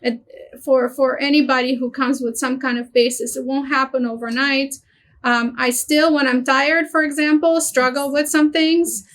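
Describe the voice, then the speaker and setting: A woman's voice: high-pitched, fairly even intonation, normal speed, fairly bright tone. A woman from Romania, a Romanian native speaker, talking in a webinar discussion over a video call, often repeating words as she searches for phrasing. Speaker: guest speaker, international office director.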